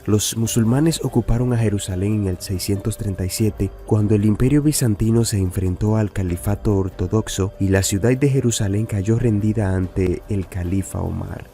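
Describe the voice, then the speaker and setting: A man reading a scripted religious news report in a measured, even way. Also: fairly low pitch. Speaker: narrator. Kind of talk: scripted narration.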